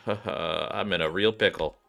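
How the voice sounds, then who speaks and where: A man with a fairly low voice streams a game over background music. He comments casually and reads the on-screen dialogue aloud, tripping over written words and reacting in asides. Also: slow pace, vocal fry, bright, forceful tone.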